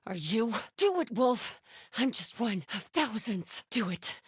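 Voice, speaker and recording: A woman's voice: on the high side, big pitch swings, a little slow, breathy edge, no room sound, studio quality, heavily acted. A woman playing a fierce, devout cult fighter in a video game, delivering her lines with fanatical conviction. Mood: defiant, bitter. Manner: urgent shouted battle calls, hushed prayerful murmurs.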